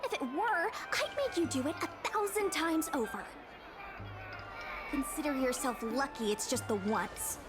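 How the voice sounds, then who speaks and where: A woman's voice: high voice, lively intonation, measured pace. An actress playing a feisty, righteous young woman in a video game, brash and blunt. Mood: indignant. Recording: background music under the voice.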